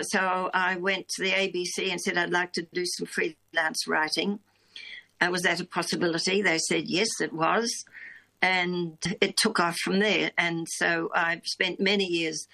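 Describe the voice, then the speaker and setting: A woman's voice: on the low side, gravelly, sharp tone, rough recording. A woman in her nineties from New Zealand, a guest on a radio interview, talking in a reflective, meandering way with many restarts. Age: 90s. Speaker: guest, founding member of a broadcaster supporters' group.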